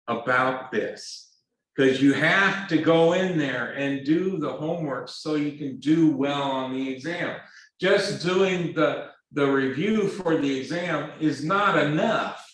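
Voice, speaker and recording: Man, math instructor, slow halting delivery, very echoey classroom heard from a distance. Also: medium pitch, fairly bright tone. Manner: halting, repeats words, many ums; earnest, pleading. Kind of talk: classroom lecture.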